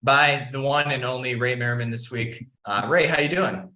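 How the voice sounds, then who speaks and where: A man hosts in a conversational, filler-laden way. His voice is bright and crisp-edged at an average male pitch. The recording is noticeably roomy, with echo around the words. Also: moderate pace. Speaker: podcast host. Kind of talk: casual market commentary interview. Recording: roomy, echoey sound.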